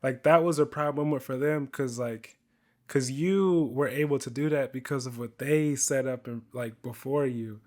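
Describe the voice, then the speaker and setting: A man hosting a casual podcast interview, with a voice in the middle of the usual pitch range. He sounds earnest and keeps his speech loose with fillers like "like". He is quick to affirm his guest and laugh along. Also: darkish tone. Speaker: podcast host.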